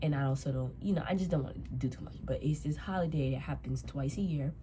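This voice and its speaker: A woman in her twenties talks in a low, mellow voice, her nose stuffed up from a cold. She rambles through a casual storytime, circling back and repeating herself while she does her makeup. A faint hum sits behind her. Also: quick pace. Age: 20s. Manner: rambling, repeats herself, loose slangy talk. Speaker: vlogger.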